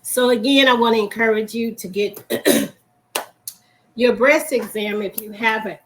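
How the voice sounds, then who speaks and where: Hoarse woman speaking slowly. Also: fairly high pitch, noisy background. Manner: keeps clearing her throat; reflective, encouraging. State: hoarse, losing her voice. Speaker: host of a faith broadcast. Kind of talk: devotional broadcast talk.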